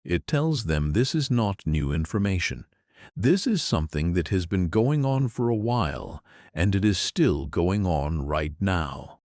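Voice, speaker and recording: A man with a very low voice teaches an English lesson. His pitch rises and falls freely as he explains each point patiently and clearly, and the sound is close and dry with no room echo. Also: animated, a little slow, darkish tone. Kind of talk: scripted lesson to the camera. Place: in Europe.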